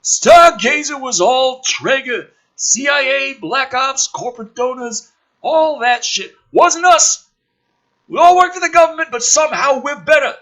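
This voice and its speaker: A man's voice: very high-pitched, expressive, unhurried, sharp tone, pitched above the performer's own voice, exaggerated voice. A man voicing a smug, chatty, foul-mouthed government operative in a mocking script read, with flippant, exaggerated emphasis. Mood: smug, brash.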